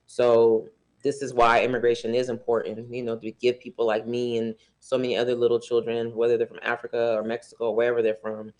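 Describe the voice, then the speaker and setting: A man from Liberia talks as a guest in an interview, earnest and heartfelt, often pausing on fillers. His voice sits at an ordinary middle pitch for a man. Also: monotone, a little mellow, poor audio. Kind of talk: casual interview talk. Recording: talk show studio.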